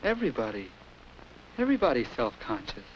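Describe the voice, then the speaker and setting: A man's voice: mid-range voice, wildly swooping pitch, brisk, poor audio. A young American man playing a self-conscious schoolboy, narrating earnestly and confidingly in a 1950s educational film, on a hissy old soundtrack. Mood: reflective. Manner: earnest, confiding first-person storytelling.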